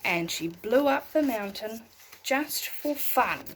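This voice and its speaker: A woman reading a bedtime story aloud in an expressive way, as if talking to young listeners. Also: a little high, takes it slowly, background noise. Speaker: storybook reader.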